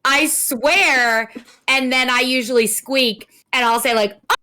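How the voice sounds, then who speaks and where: A woman whose voice is hoarse from screaming, a streamer chatting openly as an interview guest and laughing at herself. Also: high-pitched, measured pace, sharp tone. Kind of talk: casual interview chat.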